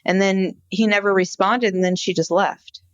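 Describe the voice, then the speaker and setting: A woman's voice: medium pitch, steady tone, moderate pace, no room sound, lo-fi sound. Woman podcaster telling a story, close and dry. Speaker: podcast co-host. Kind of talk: conversational true-crime case discussion.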